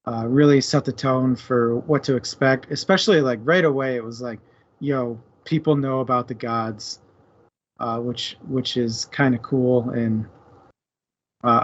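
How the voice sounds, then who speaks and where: A man chatting casually and playfully as co-host of a book discussion show. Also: mid-range voice. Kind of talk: casual chat. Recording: dull, muffled lo-fi audio.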